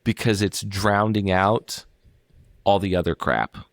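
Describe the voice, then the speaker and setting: A man's voice: low voice, measured pace, creaky, no echo, clean studio sound. A young adult man co-hosting a podcast, rambling in casual chat with plenty of fillers and offhand swearing. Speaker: podcast co-host.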